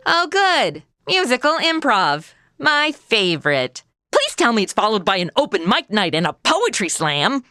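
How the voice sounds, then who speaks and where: Woman playing sassy modern gal, high bright voice, very animated, sarcastic, complaining, comedy radio play. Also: unhurried, hardly any pauses, faint music behind, full character voice. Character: sassy, flippant modern woman stuck in 1950s noir Cuba. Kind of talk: comedy audio drama acting.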